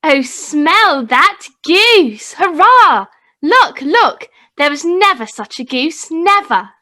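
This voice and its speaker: A woman's voice: extremely high pitch, big pitch swings, slow pace, bright, forceful tone, heavily acted. A woman playing a sweet, devout, frail little boy in a radio play, innocent and eager in manner.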